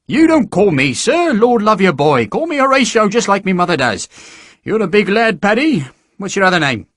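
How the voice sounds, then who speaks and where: A man's voice: high-pitched, very animated, moderate pace, fairly bright tone, no echo, studio quality, voice pushed up, full character voice. A man doing a hearty wheedling recruiting sergeant. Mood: jovial. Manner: jovial, wheedling, theatrical.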